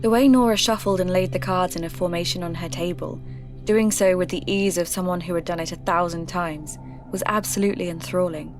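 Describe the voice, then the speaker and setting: Folklore podcast host female actor: slightly low voice, reflective, earnest scripted narration, confiding delivery. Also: steady tone, a little fast, faint music behind, close to an everyday voice. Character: earnest, curious woman hosting a folklore investigation podcast.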